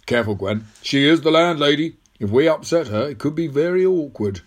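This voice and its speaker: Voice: medium pitch, expressive, brisk, lightly acted. Narrator doing a cautious, conciliatory uncle. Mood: cautioning. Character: cautious, conciliatory uncle, a grown married man. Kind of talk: audiobook character voice.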